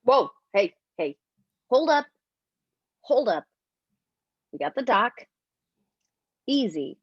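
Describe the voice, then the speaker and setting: A woman's voice: fairly high pitch, big pitch swings, takes it slowly, slightly rough, no echo, highs cut off, full character voice. A woman acting a jittery, bewildered security crew member in a script table read. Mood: alarmed, stunned.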